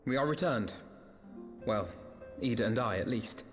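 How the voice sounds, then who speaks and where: An actor playing a scholarly adventurer in a fantasy game, dry and matter-of-fact. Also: male voice, medium pitch, moderate pace, lightly acted. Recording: roomy hall, music underneath.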